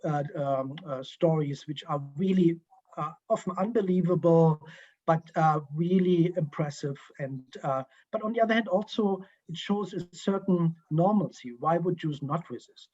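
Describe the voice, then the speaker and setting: German-accented man, halting on a muffled call. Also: fairly high pitch, darkish tone. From East Germany. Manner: halting, many ums and uhs, restarts. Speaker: guest historian. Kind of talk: Q&A answers over a video call. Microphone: video call. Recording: muffled video call audio.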